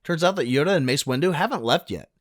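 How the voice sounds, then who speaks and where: A man's voice: on the high side, expressive, fast talker, no room sound, clean studio sound, faint music behind. A man narrating a film recap casually, with a wry, sarcastic, joking delivery.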